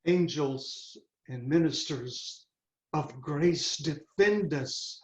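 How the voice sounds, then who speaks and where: A man reading the part of a brooding young prince in a Shakespeare play, grave and declamatory, over a video call. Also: high-pitched. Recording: roomy, slightly dull video-call audio.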